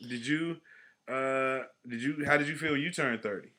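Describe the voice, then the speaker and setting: A man in his forties co-hosting a podcast in casual chat, slangy and animated, trailing off quietly at the ends of phrases. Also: medium pitch, normal speed. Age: 40s. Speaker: podcast co-host.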